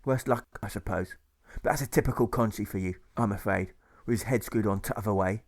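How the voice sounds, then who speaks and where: A man's voice: fairly low pitch, a little fast. A man voicing a scornful injured rustic soldier in an audiobook, with exclamatory dialect-laden speech. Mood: scornful ranting.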